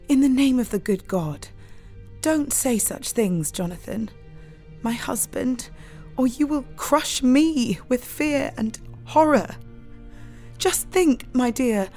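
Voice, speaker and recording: A woman playing a devout, grieving young Victorian wife in an audio drama, imploring tenderly and sorrowfully. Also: high voice. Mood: anguished hushing. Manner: slow, imploring, hushed outbursts.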